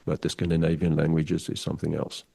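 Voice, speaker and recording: An older man whose first language is Afrikaans, speaking as a podcast guest in a measured, halting way with many restarts. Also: extremely low pitch, completely flat delivery, vocal fry, warm tone, slightly rough, no room sound, studio quality. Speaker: guest, pigeon figurine artist. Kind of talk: podcast interview over a call.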